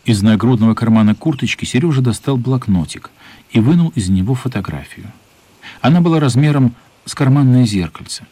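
An actor as the narrator of a children's radio play, reading scripted storybook prose. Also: male voice, mid-range voice, lively intonation, a little fast, dark tone, husky, dry recording. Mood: measured.

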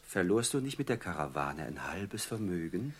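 An actor playing a mocking, falsely courteous bandit chief in a German radio play, speaking with theatrical, oily politeness. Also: male voice, deep voice, big pitch swings, moderate pace, slightly rough, exaggerated voice. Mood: sly, threatening.

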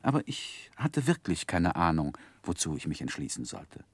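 An actor playing a grown gentleman who looks back on his youth, narrating a 1960s German radio play in a measured, expressive literary manner. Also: sits low, slightly rough, no room sound, full character voice. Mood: wistful, amused.

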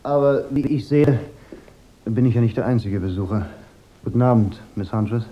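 A man's voice: mid-range voice, normal speed, highs cut off, lightly acted. Man acting a dry, sardonic private eye. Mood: probing, sardonic. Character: wry, unflappable male private detective. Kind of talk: German radio crime drama acting.

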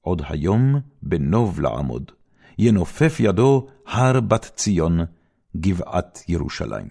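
A man's voice: sing-song, creaky. A man reading scripture aloud as a solemn, declamatory recitation. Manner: solemn, declamatory, with wide swings of pitch and loudness. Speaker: Bible reader.

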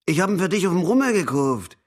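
An actor playing a stubborn, hapless working-class father who blusters and repeats himself in a radio drama, with a husky voice. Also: sits high, sing-song, fast talker. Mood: wistful, insistent. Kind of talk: naturalistic German radio drama acting.

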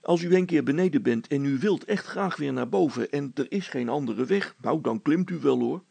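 A man voicing a practical, unruffled handyman who coaxes and gently teases in a children's story. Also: fairly high pitch, lively intonation, moderate pace, vocal fry, slightly rough, no room sound, exaggerated voice. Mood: confident, coaxing. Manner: matter-of-fact, gently teasing, encouraging.

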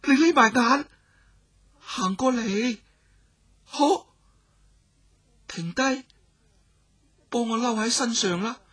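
A man doing a hushed young lady. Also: extremely high pitch, takes it slowly, long pauses, slightly rough, pitched above the performer's own voice, exaggerated voice. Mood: urgent, shy. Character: shy, commanding young noblewoman.

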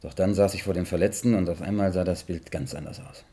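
An actor playing a troubled, earnest investigator in a German science fiction radio drama, with a husky voice. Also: male voice, low-pitched, fairly even intonation, fast talker, mellow. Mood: earnest, intense. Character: troubled, restless male investigator, earnest and insistent.

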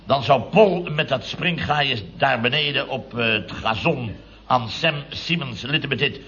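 An actor playing a blustering, sarcastic boss of a building firm in a radio comedy. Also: male voice, medium pitch, measured pace, fairly bright tone, slight background noise. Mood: aggrieved. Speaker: company boss. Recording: muffled old radio recording.

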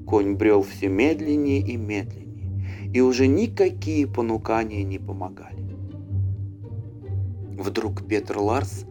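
A man narrating a fairy tale as an audiobook, with an expressive, dramatic storyteller's delivery. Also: fairly low pitch, takes it slowly, long pauses. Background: faint music.

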